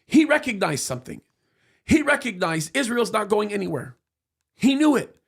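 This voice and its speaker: A man's voice: high-pitched, sing-song, normal speed, vocal fry, husky. A man in a studio talk show, making a heated argument with emphatic restarts and repeated words. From United States, of Egyptian parents.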